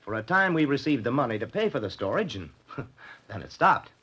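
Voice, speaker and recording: Obliging male official, hesitant delivery with lively swings in pitch, vintage radio mystery. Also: brisk, slightly rough, exaggerated voice. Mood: helpful. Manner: hesitant, helpful. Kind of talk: 1940s radio drama acting.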